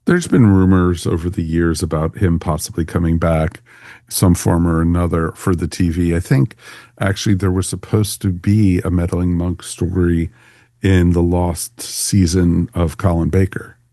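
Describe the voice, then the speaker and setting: A man's voice: deep voice, flat delivery, moderate pace, warm tone, dry recording, studio quality. A man hosting a podcast in a casual, jokey, informal way. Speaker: podcast host.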